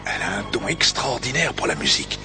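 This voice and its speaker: Gentle old music teacher, calm, instructive, lively intonation, music behind. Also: male voice, mid-range voice, quick pace, vocal fry. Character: wise, gentle older male music teacher. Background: background music score.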